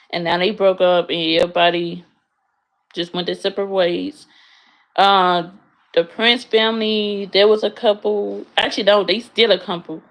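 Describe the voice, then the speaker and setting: Young woman streamer, casual and blunt, with a gravelly edge. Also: medium pitch, poor audio. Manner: blunt, frank, swears freely. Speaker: livestreamer answering chat. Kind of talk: casual livestream chat. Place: her bedroom, in bed.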